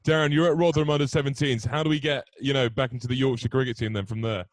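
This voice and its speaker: A man's voice: medium pitch, steady tone, fast, dry recording. A man interviewing a guest, asking questions in a playful, mock-formal way. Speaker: co-host, interviewer. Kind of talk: podcast interview.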